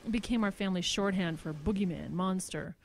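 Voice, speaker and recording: A woman's voice: lowish voice, brisk, gravelly, slight background noise. A woman acting a thoughtful, haunted survivor in a horror audio drama, speaking in a reflective, tentative way. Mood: wistful.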